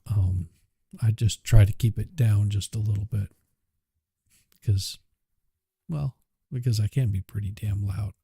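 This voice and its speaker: A man's voice: on the low side, steady tone, creaky, dark tone, husky, no room sound, clean studio sound. A man hosting a livestream, chatting casually and muttering as he tweaks his audio on a cheap USB microphone. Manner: unhurried, chatty, mutters while working. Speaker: livestream host. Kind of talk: casual livestream chat while adjusting audio. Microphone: cheap USB mic.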